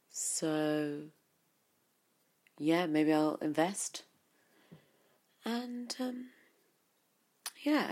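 A woman's voice: fairly low pitch, darkish tone. A woman hosting a podcast, introducing guests in chatty, eager, rambling talk. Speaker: podcast host. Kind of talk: casual chat.

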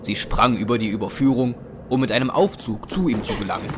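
A man's voice: mid-range voice, lively intonation, fairly quick, noisy background. A man narrating a scripted German audio drama. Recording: music bed under narration.

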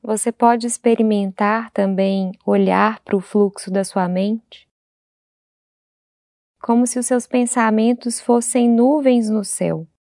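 Mellow Brazilian woman guiding meditation, dry sound. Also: fairly high pitch, steady tone, no echo. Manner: gentle, unhurried, with long pauses between phrases. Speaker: meditation guide. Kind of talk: guided meditation.

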